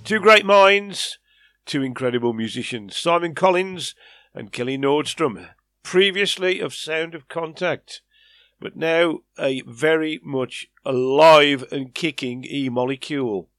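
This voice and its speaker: A man's voice: on the high side, unhurried, a bit bright, dry recording. A man presenting a music radio show in a chatty, enthusiastic way, sounding nasal from a head cold. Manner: chatty, enthusiastic, rambling asides. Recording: faint music behind.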